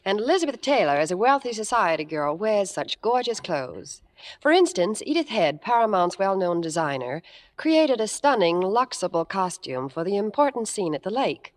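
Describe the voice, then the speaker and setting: A woman's voice: medium pitch, very animated, moderate pace. A woman presenting a 1950s radio commercial in scripted, polished, gushing promotional chat.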